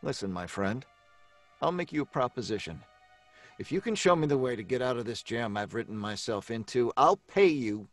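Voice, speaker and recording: A man's voice: medium pitch, animated, normal speed, soft background music, close to an everyday voice. An actor playing an irritable, frazzled male writer in an old time radio drama, blustering and stammering with exasperation. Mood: wary, uneasy.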